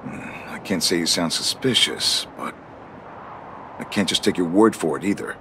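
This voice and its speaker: An actor playing a stern but fair guard captain in a video game, speaking formally and earnestly in measured lines. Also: male voice, low-pitched, fairly bright tone, a little hiss or hum. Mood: guarded, surprised. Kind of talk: scripted video game voice acting.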